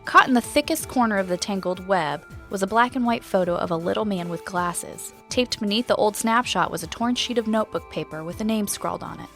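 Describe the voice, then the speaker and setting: An actress playing a curious, chatty podcast host investigating a mystery, moving between scripted narration and casual, wry conversation. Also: female voice, mid-range voice, a little fast, hardly any pauses, fairly bright tone, soft background music, natural voice. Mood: curious, intrigued. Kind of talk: scripted first-person narration and conversational dialogue.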